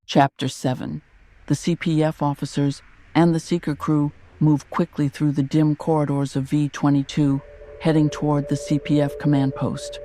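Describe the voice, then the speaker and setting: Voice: mid-range voice, flat delivery, normal speed. A narrator reading a scripted sci-fi horror audio drama. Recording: clear background noise.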